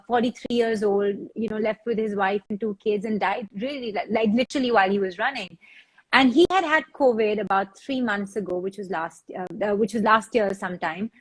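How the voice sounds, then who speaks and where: A woman's voice: mid-range voice, low-quality recording. A woman, explaining as a fitness trainer on a discussion panel, repeating words as she thinks aloud. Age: 40s.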